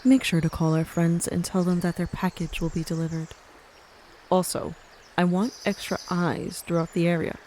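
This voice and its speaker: A woman playing a commanding, cultured mafia boss in a scripted audio drama, poised and grandly formal, with sly warmth. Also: lowish voice, level tone, moderate pace, a little hiss or hum, close to an everyday voice. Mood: stern, imperious. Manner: poised, grandly formal, uses endearments like dearie. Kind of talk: scripted audio roleplay acting.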